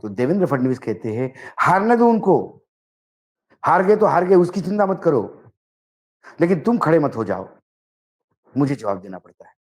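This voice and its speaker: A man giving political commentary, speaking emphatically and deliberately in short phrases as he recounts dialogue. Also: a little high, sing-song, a little mellow. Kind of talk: political commentary, narrating and translating a reported conversation.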